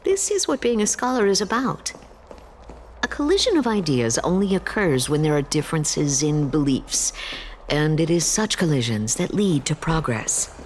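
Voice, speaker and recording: An earnest female scholar in a fantasy game. Her deep voice is animated and declarative, with faint ambience behind it. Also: normal speed, slight background noise. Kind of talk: video game voice acting.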